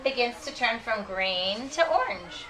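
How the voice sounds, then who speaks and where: A woman teacher with a bright, forceful, fairly high voice leads a read-aloud for children. She reads expressively and stops to ask questions. She is picked up from a distance in a noisy classroom. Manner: expressive, deliberate reading, checking understanding with questions. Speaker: elementary school teacher. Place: school classroom.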